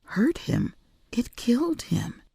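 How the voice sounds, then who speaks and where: A woman's voice: on the high side, huge pitch swings, slow, vocal fry, mellow, breathy edge, dry recording, whispered, clean studio sound, pitched above the performer's own voice, full character voice. A woman voicing a tough, protective female cat burglar with a curt, stern manner, in an audiobook story. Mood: probing, surprised.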